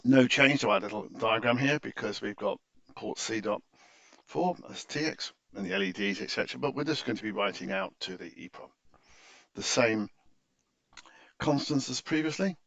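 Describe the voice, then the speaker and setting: A man giving an unscripted, methodical screen-share software tutorial in an explanatory, instructive way, on a dull recording. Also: mid-range voice, expressive, normal speed, creaky, dry recording.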